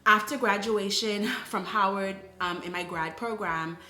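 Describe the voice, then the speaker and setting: A woman's voice: medium pitch, measured pace, bright, forceful tone, reverberant. A woman, earnestly telling her personal story in a casual vlog and often tossing in "right?". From Bahamas. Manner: reflective, earnest, frequent "right?" asides. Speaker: vlogger.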